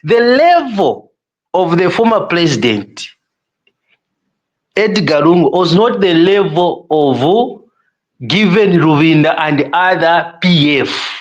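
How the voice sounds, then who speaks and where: A Zambian man arguing his point on a podcast panel, unhurried and deliberate. His voice sits high and moves with lively rises and falls. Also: highs cut off. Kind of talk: panel debate.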